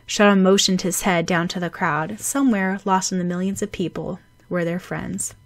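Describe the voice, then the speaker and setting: Woman reading an audiobook story, dramatic and animated, dry close sound. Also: on the low side, normal speed, no room sound. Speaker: amateur audiobook narrator. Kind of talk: expressive storybook narration of fan fiction.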